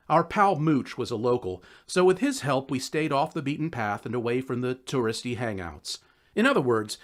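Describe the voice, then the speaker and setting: A man reading a scripted first-person story in a wry, conversational, expressive way. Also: a little high. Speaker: audiobook narrator.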